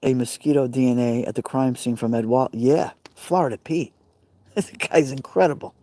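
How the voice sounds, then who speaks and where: Mellow-voiced Brooklyn man chatting. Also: mid-range voice, moderate pace, no echo. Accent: Brooklyn New York. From Brooklyn, New York. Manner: chatty, rambling, answers viewer comments by name. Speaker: live streamer. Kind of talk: casual live stream chat with viewers. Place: outdoors by a fire pit.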